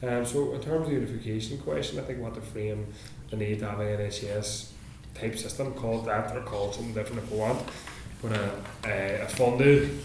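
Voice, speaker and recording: Low-voiced man on a panel in an echoing hall. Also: a little fast. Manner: thoughtful, hedging with fillers, occasional cough. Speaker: panel speaker. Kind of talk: public panel answer. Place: large meeting hall. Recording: echoey hall, background noise.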